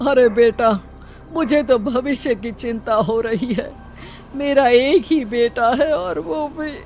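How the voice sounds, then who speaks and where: A woman voicing a gentle, plaintive elderly village mother-in-law in a Hindi cartoon story. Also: on the high side, takes it slowly, soft background music. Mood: worried.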